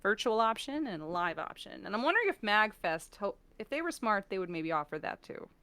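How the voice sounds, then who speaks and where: American woman, clear smooth voice, dry close recording, expressive casual chat, stream guest. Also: medium pitch, brisk, dry recording. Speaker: voice actor and performer, guest on a stream.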